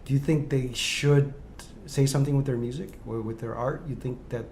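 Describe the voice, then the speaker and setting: A woman's voice: extremely low pitch, normal speed, a little mellow, a little hiss or hum. A woman hosting a casual interview show, asking long questions that she rephrases as she goes. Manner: rambling, rephrases questions mid-sentence. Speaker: interview host.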